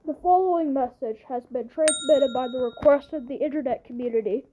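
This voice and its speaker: A woman with a high, soft-toned voice makes mock emergency broadcast announcements. She sounds like an amateur improvising official wording, halting and restarting phrases as she goes. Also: dull audio, slight background noise. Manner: halting, repeats phrases and restarts sentences often.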